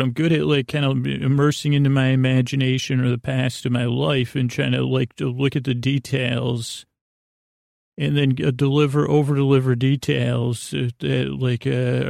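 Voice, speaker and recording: Creaky-voiced, meandering male podcast host. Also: mid-range voice, level tone, darkish tone, dry recording, clean studio sound. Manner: halting, digressive, self-deprecating, goofy. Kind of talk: meandering, rambling monologue for a bedtime podcast.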